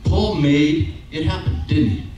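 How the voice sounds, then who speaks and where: A man's voice: a little high, unhurried, dark tone, lo-fi sound, faint music behind. Middle-aged male preacher, chatty and conversational, handheld mic, recorded from across a very echoey sanctuary. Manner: conversational, keeps asking "okay?" and "right?"; walks around the stage with a handheld mic. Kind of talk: sermon. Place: church sanctuary with brick wall. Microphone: handheld microphone, far camera. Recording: very echoey room, from far off, faint noise.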